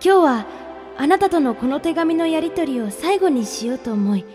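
A woman playing a polite, gentle young female pen pal reading her letters in a radio drama. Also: high-pitched, lively intonation, normal speed. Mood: apologetic. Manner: polite, demure. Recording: clear music bed under the voice.

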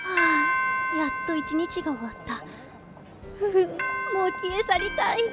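A woman playing an excitable, self-mocking teenage schoolgirl who narrates her own flustered thoughts in a radio drama. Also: very high voice, over loud music. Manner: flustered inner monologue, quick swings. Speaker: narrator and lead character.

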